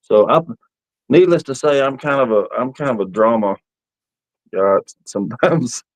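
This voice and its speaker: A man's voice: mid-range voice, unhurried, no room sound, low-quality recording. A man in his fifties chatting casually on an outdoor live stream in a folksy, rambling storytelling way. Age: 50s. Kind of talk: casual live-stream chat about camping.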